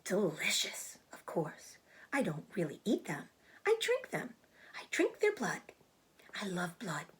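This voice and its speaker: A woman voicing a proud, clever female spider in a storybook, with a thin, breathy voice and a genteel, self-assured, explanatory manner. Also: medium pitch, huge pitch swings, measured pace, exaggerated voice. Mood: relishing. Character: clever, proud, matter-of-fact female spider, bloodthirsty trapper.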